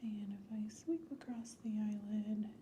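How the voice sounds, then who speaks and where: A woman doing a soft, slow ASMR medical exam roleplay, giving gentle clinical instructions, often in a whisper, close to the microphone. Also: mid-range voice, fairly even intonation, slight background noise. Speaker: ASMR host playing a clinical examiner.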